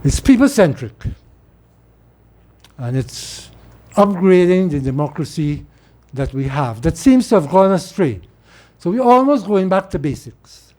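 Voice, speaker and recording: Man, Trinidadian accent, middle-aged, high pitch, informal monologue, repeats words. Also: very animated, a little slow, pauses often, mellow. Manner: rambling, repeats words as he restarts. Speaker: political speaker.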